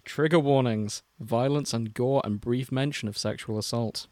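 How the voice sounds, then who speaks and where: A young man co-hosting a podcast, reading fan fiction aloud with deadpan, mocking asides. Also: medium pitch, moderate pace, dry recording. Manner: wry, deadpan, dips into mocking quotes. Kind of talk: casual chat and comic dramatic reading.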